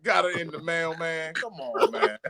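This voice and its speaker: A man's voice: on the high side, little pitch movement, moderate pace, a crowd in the background. A man hosting a podcast in casual, slangy, joking group banter. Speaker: podcast host.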